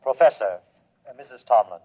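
An actor in a 1950s radio drama playing a logical robot invader from outer space, speaking formally and without emotion. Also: male voice, medium pitch, lively intonation, brisk, dark tone, slight background noise, close to an everyday voice. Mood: cold, detached. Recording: dull vintage radio recording.

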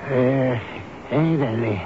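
An actor playing an addled, gentle, childlike old man who talks haltingly and repeats himself in a 1970s radio drama. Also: mid-range voice, noisy background, close to an everyday voice. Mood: evasive, uneasy. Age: older. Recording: tinny narrowband old radio broadcast with music.

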